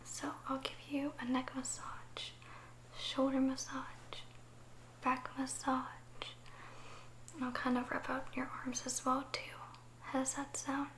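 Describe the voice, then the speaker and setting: A woman playing an affectionate, gently teasing girlfriend in a soft, soothing ASMR roleplay, speaking close to the microphone. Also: sits high, completely flat delivery, unhurried, slight background noise, poor audio. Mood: caring, soothing. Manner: soft, soothing, giggly, caring.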